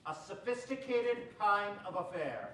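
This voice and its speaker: A man's voice: sits high, big pitch swings, a little slow, bright, forceful tone, slight background noise, full character voice. A young man playing a polite, formal army officer in an old-time radio comedy performed on stage. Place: theatre stage. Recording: echoey stage from far off, music.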